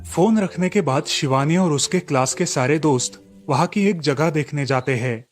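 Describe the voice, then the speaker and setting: Casting: male storyteller for animated tales, slightly high register, scripted delivery, light musical bed. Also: normal speed, faint music behind. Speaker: narrator. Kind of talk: scripted storybook narration for cartoon.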